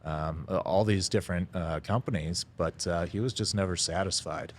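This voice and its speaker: A middle-aged man with a very low voice tells his story in a relaxed interview. He talks haltingly, filling gaps with "uh" and "um." He lets out an easy chuckle here and there. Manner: halting, lots of "uh" fillers, chuckles now and then. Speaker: restaurant founder, podcast guest.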